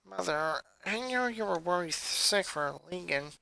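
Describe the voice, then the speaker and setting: A woman's voice: on the low side, animated, measured pace, gravelly, pushed and bright, full character voice, rough recording. Woman playing a formal, precocious little genius girl. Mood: tired, matter-of-fact. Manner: pedantic, formal wording.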